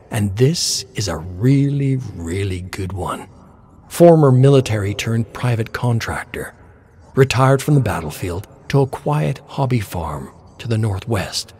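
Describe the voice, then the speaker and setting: A man's voice: on the low side, a little mellow. A man reading a scripted story as narrator, in a dramatic style.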